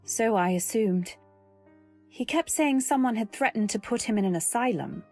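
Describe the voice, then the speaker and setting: A woman narrating and acting a young wife in an old-time radio drama, earnest, anxious, and gentle. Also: a little high, animated, normal speed, soft background music. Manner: earnest first-person storytelling, anxious and gentle. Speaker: narrator and actor.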